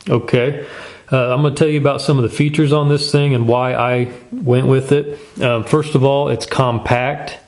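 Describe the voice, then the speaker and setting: A man's voice: mid-range voice, steady tone, normal speed, darkish tone. A man hosting a casual product review in an informal, folksy, plain-spoken way. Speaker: gear review channel host.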